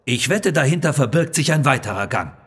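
A narrator, a man, plays a brave adventurer hero in an audio storybook. He sounds decisive, with a slightly rough, husky tone and lively pitch movement. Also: fairly quick. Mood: focused.

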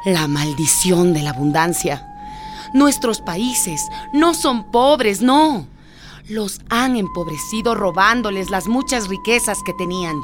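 Expressive, earnest village woman. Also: medium pitch, slow pace, music in the background. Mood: indignant. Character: earnest village woman, grieving yet reverent and hopeful. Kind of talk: radio drama acting.

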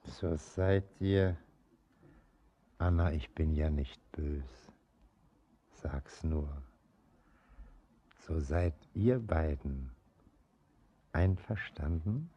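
An actor playing a kindly older father in a theatrical German radio drama, calming his daughter with a deep, husky voice and measured, gently reasoning delivery. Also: highs cut off, heavily acted. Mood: gentle, coaxing.

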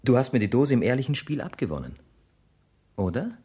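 An actor playing a playful, teasing gentleman in a theatrical 1970s German radio drama. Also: mid-range voice, sing-song, brisk, slightly rough. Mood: mock gallant. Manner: theatrical, bantering. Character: playful, teasing gentleman friend of the family, flustered suitor.

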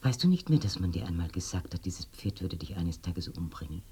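An actress playing an eerie village widow in a radio drama, confiding and animated, with a dark husky voice that sinks to murmurs. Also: female voice, a bass voice, brisk, heavily acted. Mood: tender, grave. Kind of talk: binaural radio drama acting.

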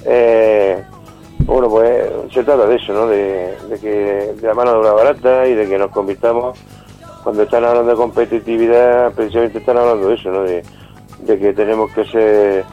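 A man in his fifties from Spain, by his accent, with a slightly low voice. He speaks as an interviewed activist, informally and haltingly with many tag questions. The sound is dull and lo-fi, with music faintly behind him. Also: flat delivery. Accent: Spanish from Spain. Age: 50s. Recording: dull band-limited call with music behind.

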